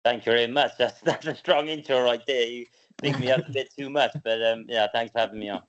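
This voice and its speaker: A young man from Wales answering interview questions in a relaxed, hesitant way, with many ums and repeated words. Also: mid-range voice, a little fast. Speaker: guest, professional padel player. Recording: dull lo-fi sound.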